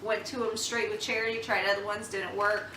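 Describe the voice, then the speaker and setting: Brief: young mom vlogger, bright, chatty, earnest, recorded a bit off-mic in an echoey room. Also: female voice, mid-range voice, little pitch movement, brisk, far from the mic, slight background noise. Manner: chatty, earnest, with many ums and restarts. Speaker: mom vlogger reviewing baby products. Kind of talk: casual product review chat. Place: home room.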